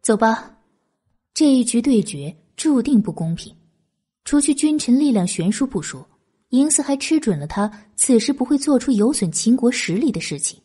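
A woman's voice: a little high, very animated, moderate pace, dark tone, breathy edge. A woman reading a historical novel as scripted, dramatic audiobook narration.

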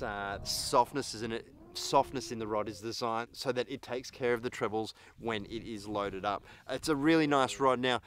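A man's voice: mid-range voice, fairly bright tone, slight background noise. A man in his thirties giving a casual, blunt and opinionated product review to camera as a vlog host. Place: outdoors on a parked boat in a grassy yard.

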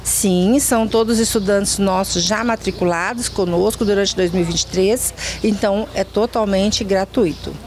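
Mid-pitched Brazilian woman explaining in an interview. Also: normal speed, hardly any pauses, a little mellow. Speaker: education department director. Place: outdoors under a covered yard. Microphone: reporter's handheld microphone. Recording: faint outdoor background noise.

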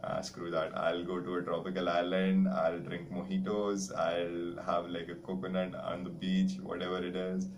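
Deep-voiced man teaching casually. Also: flat delivery, normal speed, hardly any pauses. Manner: rambling, chatty, self-mocking. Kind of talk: casual online lesson. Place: small room indoors.

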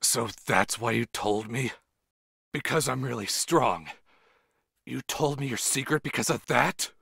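A man voicing a tormented teenage tough guy in a fan manga dub, with a rough, intense, brooding delivery. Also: mid-range voice, measured pace, sharp tone, no echo, heavily acted. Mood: brooding, bitter. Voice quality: rough, gravelly.

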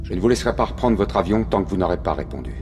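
A debate moderator in a TV drama. His low voice is firm and probing, insistently pressing the panel for answers. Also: animated, racing, over music. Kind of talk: dubbed TV drama dialogue.

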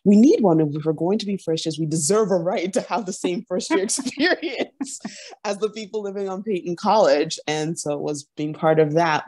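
Low, mellow-voiced woman on a video call. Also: no room sound. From Nigeria. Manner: earnest, frequent laughter, animated storytelling. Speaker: podcast guest. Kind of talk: candid interview conversation. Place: home room, indoors.

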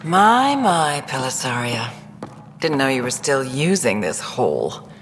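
Condescending alien rival, mock-sweet, low swooping voice, game dialogue, reverberant room. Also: female voice, sing-song, unhurried, a little hiss or hum, exaggerated voice. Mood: smug, needling. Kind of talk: video game dialogue acting.

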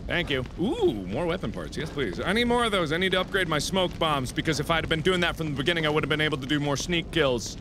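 A man hosting a let's play, commenting on gameplay with sudden loud outbursts and mumbled asides. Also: a little high, lively intonation, fast talker, few pauses, noisy background. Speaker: gaming video host. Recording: game music behind the voice. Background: game music.

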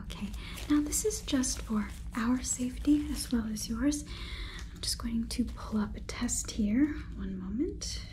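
A woman in her twenties doing a whispered ASMR role-play as a polite, curious AI robot overlord, speaking softly and haltingly close to the microphone. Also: a little high, a little hiss or hum, low-quality recording. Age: 20s. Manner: soft, halting, deliberate whisper. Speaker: ASMR performer. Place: small room indoors, close up. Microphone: close sensitive microphone.

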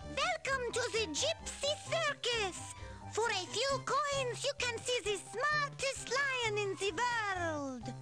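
A shifty, bossy carnival fortune-teller puppet for a children's game. She speaks slowly at a piercingly high pitch, over music. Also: sing-song, heavily acted. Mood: showy. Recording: music under the voice.

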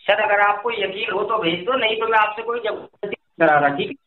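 Insistent high-voiced man on a phone line. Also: fairly quick, a little hiss or hum. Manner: insistent, repetitive. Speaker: phone agent for a coin-buying company. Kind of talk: sales phone call. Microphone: telephone. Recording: tinny, narrowband phone line recording.

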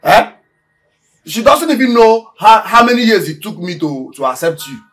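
An actor playing a poor, aggrieved young village farmer with a Nigerian accent, stammering and repeating himself in agitation. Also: male voice, on the high side, animated, normal speed, a bit bright. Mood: angry, aggrieved. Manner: agitated, stammering repetition of words. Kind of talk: Nollywood film acting. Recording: background music under dialogue.

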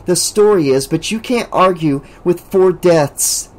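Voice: medium pitch, voice pushed up, lightly acted, poor audio. A reader voicing a trembling, haunted teenage girl. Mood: desperate. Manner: tremulous, slow, pleading. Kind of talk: audiobook character voice.

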